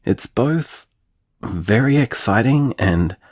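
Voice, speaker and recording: Earnest young guy leaving a voicemail, slow husky voice, kinda hesitant, audio drama. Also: medium pitch, lively intonation, a little mellow. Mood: anxious, weary.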